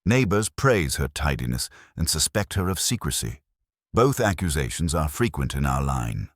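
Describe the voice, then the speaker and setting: A man's voice: a bass voice, sing-song, normal speed, dry recording, clean studio sound, heavily acted. A man voicing an agreeable, dutiful junior policeman who reports drolly and matter-of-factly in a mystery story. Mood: dutiful, droll.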